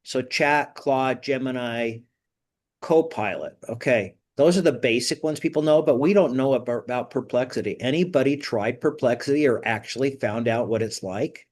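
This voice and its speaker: A man's voice: medium pitch, moderate pace, darkish tone. A man hosting a job-search group, teaching informally and prompting participants with questions.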